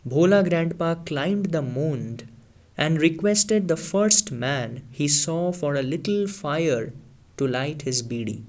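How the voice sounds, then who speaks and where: A man reading a story aloud, slow and deliberate, sinking lower for the tense moments. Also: darkish tone. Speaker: story reader. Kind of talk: storybook read-aloud narration.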